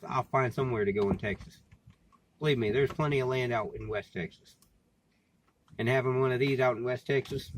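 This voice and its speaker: An American man in casual, rambling vlog talk, plain-spoken and folksy, often repeating his phrases. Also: medium pitch. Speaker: vlogger and CB radio hobbyist. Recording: clearly noisy background, amateur recording.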